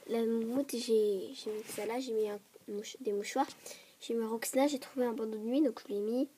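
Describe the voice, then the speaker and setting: Woman, stuffy from a cold, rambling casual vlog, hurried, raised pitch, audible noise and clicks. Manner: hurried, rambling, often drops to a whisper. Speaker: vlogger showing a toy dollhouse. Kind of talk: casual show-and-tell vlog. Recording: clear background noise and clicks.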